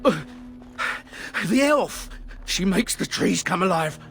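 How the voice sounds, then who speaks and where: A terrified bandit in a fantasy game. His voice is high and creaky. He sounds frantic and desperate as music plays behind him. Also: lively intonation, takes it slowly, fairly bright tone, full character voice. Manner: frantic, pleading. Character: panicked, fleeing male bandit, terrified and desperate.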